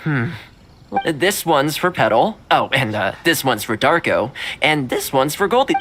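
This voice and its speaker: Game role: kind young manservant, gentle and talkative, very animated intonation, music underneath. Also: male voice, on the high side, measured pace, slight background noise. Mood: thoughtful, gentle. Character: kind, chatty young male servant fond of stray animals. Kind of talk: video game voice acting, storytelling dialogue.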